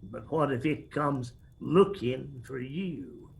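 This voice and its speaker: An actor playing a hard, menacing mountain uncle in a radio drama, with an Appalachian accent. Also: male voice, medium pitch, lively intonation, slow pace, gravelly. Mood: goading.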